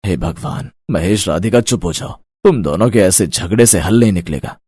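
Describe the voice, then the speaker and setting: An actor playing a weary, resigned village family man, husky and placating, in a cartoon story. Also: deep voice, lively intonation, normal speed, dark tone. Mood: calming. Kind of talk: cartoon moral story dialogue.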